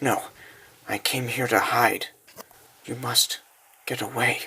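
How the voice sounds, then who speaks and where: A man voicing a mysterious imprisoned female spirit in a comic dub, hushed and sinister. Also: mid-range voice, fairly even intonation, slow, husky, exaggerated voice. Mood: hushed, fearful.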